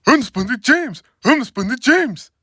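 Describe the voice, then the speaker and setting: A man's voice: high voice, wildly swooping pitch, moderate pace, pushed and bright, dry recording, clean studio sound, pitched above the performer's own voice, exaggerated voice. A man voicing a hot-tempered, foul-mouthed male steam engine in a comic cartoon parody, screaming and swearing. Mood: scheming.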